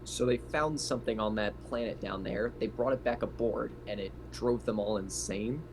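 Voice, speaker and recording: A man's voice: lowish voice, normal speed. A man taking part in a tabletop game as a player, speaking in a casual, questioning way. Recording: clearly noisy background.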